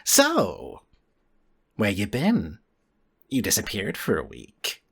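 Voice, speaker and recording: A man's voice: fairly low pitch, wildly swooping pitch, takes it slowly, a bit bright, breathy edge, heavily acted. Man voicing a wry, laconic clawed creature. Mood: cool, curious. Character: cocky, curious clawed male creature lounging about.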